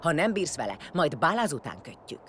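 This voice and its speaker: A woman's voice: low-pitched, sing-song, a bit bright, slight background noise. A woman playing a blunt, commanding warrior leader in an audio drama, vengeful and foul-mouthed. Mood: curt, commanding.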